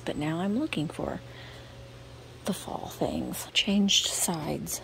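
Hushed, creaky-voiced American woman. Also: mid-range voice. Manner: hushed and gentle, often dropping to a near-whisper. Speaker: vlogger showing finds while shopping. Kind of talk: casual, murmured shop-along commentary. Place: indoors in a cluttered antique mall. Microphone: handheld camera's built-in microphone. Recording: clear ambient store noise, sensitive camera microphone.

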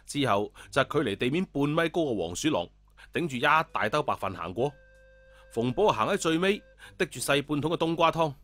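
A man narrating a scripted story in an animated storytelling style. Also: mid-range voice, no room sound. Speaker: audiobook narrator. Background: background music.

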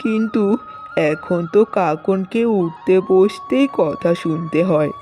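Woman acting a mellow, worried village mother. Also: mid-range voice, huge pitch swings, takes it slowly. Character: worried middle-aged village mother fretting over her daughter. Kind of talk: cartoon story voice acting. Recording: music bed under the voice.

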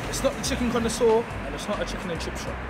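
A young man, casually reviewing a burrito to camera on a handheld mic, relaxed and chatty as he eats. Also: high voice, racing, loud music behind the voice. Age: young adult. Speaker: food reviewer. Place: street outside a restaurant, then small canteen indoors.

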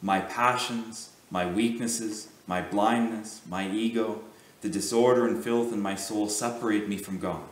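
A man giving a sermon as a priest, speaking in a measured, earnest way with deliberate pauses. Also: on the low side, fairly even intonation, roomy sound, far from the mic.

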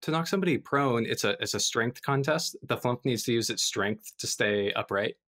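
A man's voice: mid-range voice, animated, moderate pace, a bit bright. A man on a podcast panel chatting loosely and speculatively, often checking for agreement. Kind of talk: casual chat.

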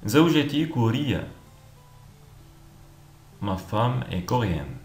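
A man teaching language, reading each short phrase with clear, deliberate pronunciation and repeating it several times. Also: mid-range voice, big pitch swings, a little mellow, faint music behind. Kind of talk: slow repeated phrase drills. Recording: echoey room with faint noise.

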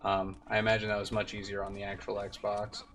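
A man's voice: fairly low pitch, monotone, fairly quick. A man in his twenties giving casual gameplay commentary as a streamer, muttering his reactions. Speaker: game streamer. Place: indoor room at home. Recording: loud game music behind voice.

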